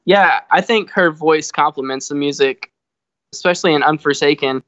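A man's voice: fairly high pitch, normal speed, a bit bright, no echo. A man from a band answering interview questions in a thoughtful, easygoing way. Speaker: band member. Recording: dull, lo-fi sound.

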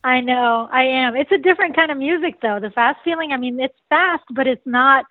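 A woman's voice: high voice, a little fast, no room sound. Bubbly nasal woman in her forties. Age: 40s. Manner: bubbly, laughs often. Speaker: singer and saxophonist. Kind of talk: casual interview answers. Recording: dry, tinny phone line.

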